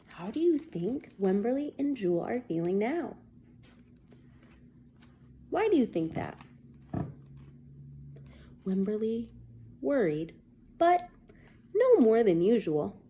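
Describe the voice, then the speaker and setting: A woman reading a children's picture book aloud as a teacher, expressive and deliberate, pausing to put questions to young listeners. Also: fairly high pitch, slight background noise.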